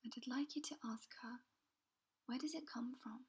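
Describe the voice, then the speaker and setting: A woman guiding a healing visualization in a soft, slow, soothing whisper. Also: on the high side, slightly rough. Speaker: meditation guide.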